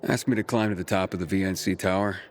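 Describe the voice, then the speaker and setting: A man's voice: low voice, fairly even intonation, quick pace, a little hiss or hum, close to an everyday voice. An actor playing a determined, cocky young male survivor in a video game, talking in a casual, confident way. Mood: confident, teasing.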